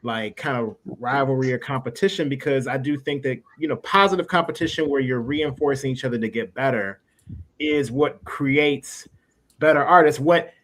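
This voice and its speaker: A man's voice: mid-range voice, moderate pace, fairly bright tone. Casual, encouraging male interview host.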